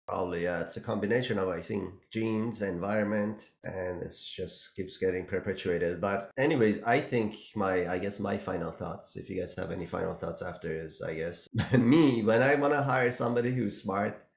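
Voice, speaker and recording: A man's voice: sits low, normal speed, creaky, hardly any pauses, echoey room. A man hosting a casual business podcast, rambling a little and laughing as he talks. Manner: rambling, laughs while talking. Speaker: podcast host, business owner.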